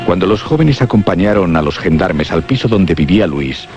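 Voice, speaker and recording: A man giving a dramatic, scripted radio narration of a horror story, with suspenseful pauses. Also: low-pitched, animated, a little mellow. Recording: muffled radio drama recording. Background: prominent music.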